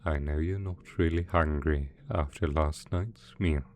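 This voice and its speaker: Man acting a low-voiced, doting supervillain boss. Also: expressive, unhurried, darkish tone, slight background noise. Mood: coaxing, sinister. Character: doting, possessive male mad scientist supervillain boss. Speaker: voice actor in audio roleplay. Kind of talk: ASMR roleplay acting.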